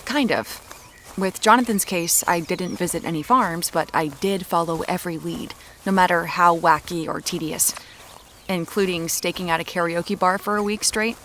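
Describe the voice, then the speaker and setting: An actress playing a determined, blunt amateur detective in an audio drama, matter-of-fact and wry. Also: female voice, on the low side, normal speed, a bit bright, a little hiss or hum, close to an everyday voice. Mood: wry, focused.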